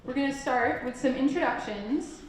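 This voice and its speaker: A woman's voice: high-pitched, moderate pace. A woman emceeing a live panel on stage, upbeat and cheerful, laughing often. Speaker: event emcee. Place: large echoey event hall with audience. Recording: echoey hall, crowd and room noise.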